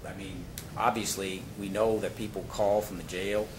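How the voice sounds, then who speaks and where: A man's voice: sits low, level tone, moderate pace. A man speaking as a prosecutor, explaining himself to a judge in an informal, repetitive way. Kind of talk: courtroom argument to a judge. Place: indoor courtroom. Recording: echoey courtroom recording with loud noise.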